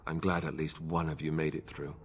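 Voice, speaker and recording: A man voicing a weary warrior veteran who speaks earnestly and somberly, with a husky voice. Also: extremely low pitch, level tone, brisk, a little hiss or hum. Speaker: game character.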